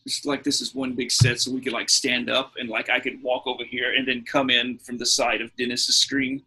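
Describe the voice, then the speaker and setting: Bright, slightly husky man, emphatic podcast host. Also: medium pitch, level tone, fairly quick, poor audio. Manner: emphatic, opinionated, profane. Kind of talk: casual pop culture debate.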